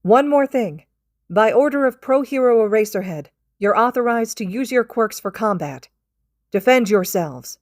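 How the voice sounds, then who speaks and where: Commanding heroine acted by a reader, raised pitch, loud emphatic announcements, urgent, dry recording. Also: female voice, expressive, moderate pace, no echo, close to an everyday voice. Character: urgent woman hero broadcasting commands to students.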